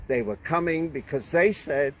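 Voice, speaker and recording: A man in his seventies from Manchester with a British accent, giving a measured, storytelling guided talk about kibbutz life. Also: fairly high pitch, expressive, a little hiss or hum. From England. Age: 70s. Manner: slow, measured, storytelling delivery. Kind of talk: unscripted guided explanation to camera. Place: outdoors on a kibbutz.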